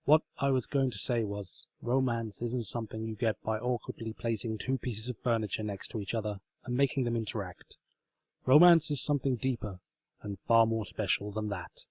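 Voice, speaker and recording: A man voicing a gentle, wise, mildly pedantic bedside table in a comic story. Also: mid-range voice, moderate pace, no room sound, close to an everyday voice. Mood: gentle, wry.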